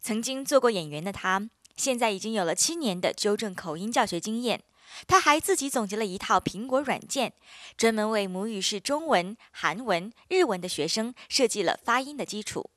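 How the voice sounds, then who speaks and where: A woman narrates a scripted voice-over with a lively, rising and falling delivery. The recording is dry and close, with no room sound at all. She sounds smooth and expressive. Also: mid-range voice, brisk, no echo.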